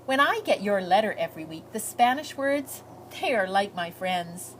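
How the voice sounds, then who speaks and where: A woman's voice: medium pitch, expressive, moderate pace, a little hiss or hum, natural voice, poor audio. A woman voicing an earnest, shy, hopeful immigrant girl reading out her letters, with heartfelt, lilting storybook delivery.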